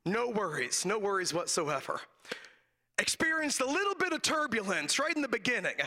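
A man preaching from a church pulpit on a handheld microphone, hoarse and raspy today, telling a folksy personal story with frequent laughs. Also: high-pitched, very animated, moderate pace, bright, forceful tone. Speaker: guest preacher. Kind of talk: sermon with humorous personal story.

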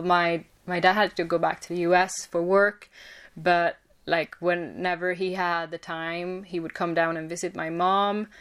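A young woman with a Swedish accent, answering interview questions casually with many pauses and fillers. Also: on the low side, clean tone. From Sweden. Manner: hesitant, many fillers and restarts. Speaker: podcast guest.

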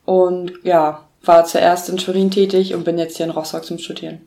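A woman's voice: fairly low pitch, little pitch movement, normal speed, mellow. A twenty-year-old woman introducing herself briefly and matter-of-factly as a podcast co-host. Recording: roomy echo.

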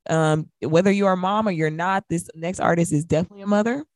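An American woman, roughly in her thirties, co-hosting a radio show with casual talk about music. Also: fairly low pitch, quick pace, no echo, studio quality.

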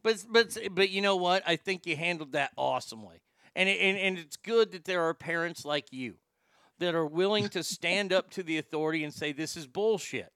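A man hosting a call-in show, talking in an animated, joking and crude way. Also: high voice, brisk, smooth, dry recording. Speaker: show host. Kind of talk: casual call-in show talk.

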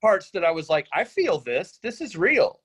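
A man's voice: high voice, big pitch swings, moderate pace, fairly bright tone, slightly rough. A man, an actor in a casual workshop discussion, talking bluntly and acting out example lines. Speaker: actor from a script reading giving feedback.

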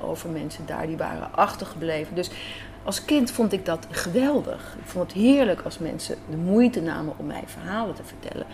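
A woman with a Netherlands Dutch accent, answering interview questions conversationally and searching for her words. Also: on the low side. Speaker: radio drama writer and director, interview guest. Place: café. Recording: clear café background noise.

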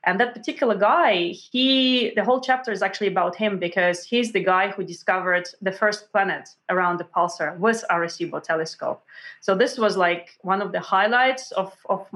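Animated woman guest. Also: medium pitch, normal speed. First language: Russian. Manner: animated, many restarts and fillers, acts out quotes. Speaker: author guest. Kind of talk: conversational interview storytelling.

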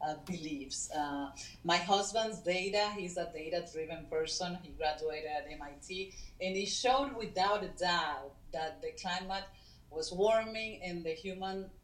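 A middle-aged woman from Venezuela, a guest co-founder of a foundation, speaking natively and talking in an earnest, halting way with many fillers. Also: fairly low pitch, roomy sound, rough recording. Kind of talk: casual interview talk.

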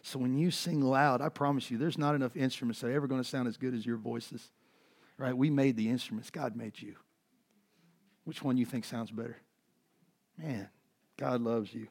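A man's voice: mid-range voice, fairly even intonation, normal speed, long pauses, darkish tone. A middle-aged man preaching in a conversational, earnest way, his voice worn from overuse, with many fillers and restarts. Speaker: pastor. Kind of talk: sermon.

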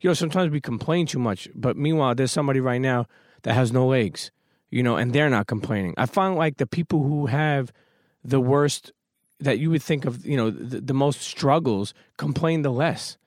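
A man's voice: mid-range voice, normal speed, few pauses, dry recording, studio quality. A man hosting a podcast, giving an emphatic motivational talk full of casual swearing before welcoming his guest. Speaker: podcast host.

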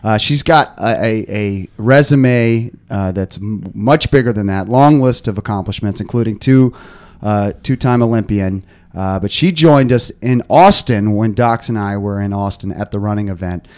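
A man hosting a casual podcast, a bit hoarse, rambling with lots of fillers and restarts. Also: on the low side, moderate pace, hardly any pauses, a little mellow. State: slightly hoarse. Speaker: podcast host. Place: podcast studio.

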